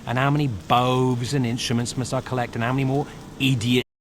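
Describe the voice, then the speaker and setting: A man's voice: mid-range voice, flat delivery, brisk, background noise, lightly acted. A man playing a sarcastic, exasperated, self-serving bard hero in a video game, with wry, hesitant asides.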